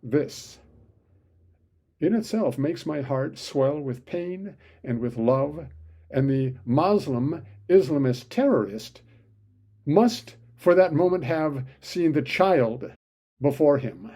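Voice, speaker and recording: Sardonic man with a mellow voice. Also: a little high, animated, takes it slowly. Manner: sardonic, sarcastic asides. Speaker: commentator. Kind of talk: opinion commentary monologue.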